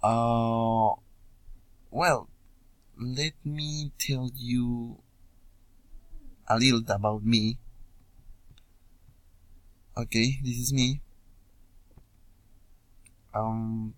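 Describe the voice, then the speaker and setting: A young man from Peru with a Peruvian Spanish accent, introducing himself informally as a student in hesitant learner English with frequent pauses. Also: medium pitch, steady tone, dry recording, rough recording. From Puno, Peru. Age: young adult. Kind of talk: informal self-introduction video.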